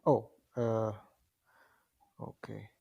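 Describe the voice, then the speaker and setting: A man's voice: lowish voice, level tone, very slow, vocal fry, warm tone, muffled recording. A man whose first language is Arabic, debating casually and politely, often stammering and repeating words as he talks. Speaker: discussion participant in an audio chatroom.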